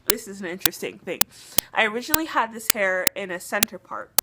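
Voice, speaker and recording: A young woman rambles to her camera in a casual hair vlog, full of fillers and restarts. She sounds a little sick and is losing her voice, at a middling pitch. Loud noise hisses under the recording. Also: very animated, fairly quick. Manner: chatty, many fillers and restarts. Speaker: beauty vlogger.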